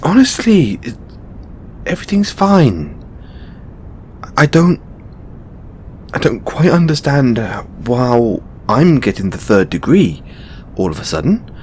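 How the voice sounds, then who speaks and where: Over a rumbly, noisy background comes an affectionate, stressed god acted by a man talking to a beloved devotee. The voice sits low and swings expressively, with slow, halting phrasing. It is close, playful boyfriend roleplay. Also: big pitch swings, darkish tone. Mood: defensive, flustered. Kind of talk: intimate audio roleplay acting.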